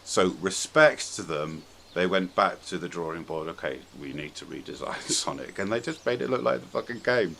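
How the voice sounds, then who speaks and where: Deep british bloke chatting casually, jokey and wry, slight background noise. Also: male voice, moderate pace, gravelly, hardly any pauses, fairly bright tone. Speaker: podcast speaker. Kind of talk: casual banter.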